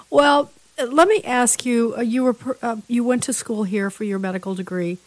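A woman hosting an interview show, chatting with her guest in a halting way, full of restarts. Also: on the high side, fairly even intonation, dry recording, poor audio. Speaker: interview host.

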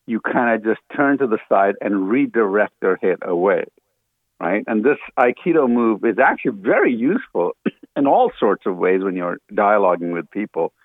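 A man's voice: fairly low pitch, expressive, moderate pace, dry recording. A man who was born in Ghana and grew up in Africa, a guest earnestly explaining his view in a radio interview, with many fillers and restarts. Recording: dry, tinny phone line.

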